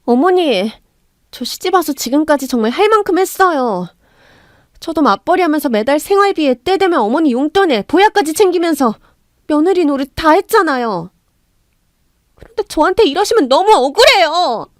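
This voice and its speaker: A woman's voice: sits high, sing-song, moderate pace, a little mellow, dry recording, clean studio sound. A woman voicing a young wife who pleads indignantly against her mother-in-law. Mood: pleading.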